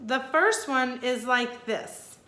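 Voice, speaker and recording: A studious young storybook boy, played by a woman, earnest and careful in explaining. The voice is high and bright, with lively jumps in pitch. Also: slow pace, roomy sound, heavily acted.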